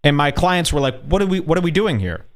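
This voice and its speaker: A man's voice: fairly high pitch, very animated, fast talker. A man playing an aggrieved, self-important businessman making an indignant, exasperated customer complaint on a prank call.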